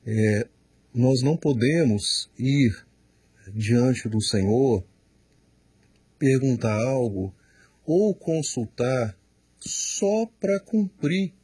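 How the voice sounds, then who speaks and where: A man, a pastor, answering questions in a studio, slow and emphatic, often repeating words as he explains. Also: medium pitch, darkish tone, slightly rough, no echo. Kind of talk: studio Q&A teaching.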